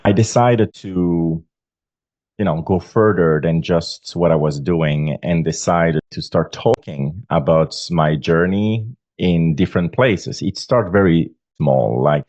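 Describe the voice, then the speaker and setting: A man in his forties from Belgium, with a Belgian accent, talking earnestly as a podcast guest and pausing on many fillers. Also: low-pitched, a little mellow, no room sound. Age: 40s. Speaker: guest author. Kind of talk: podcast interview.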